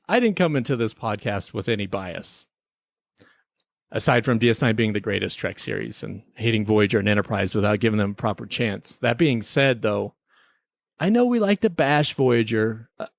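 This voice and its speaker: A man's voice: medium pitch, gravelly, dry recording, studio quality. A man co-hosting a podcast, talking through a TV episode in a rambling, opinionated, informal way. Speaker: podcast co-host. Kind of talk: casual TV episode review chat.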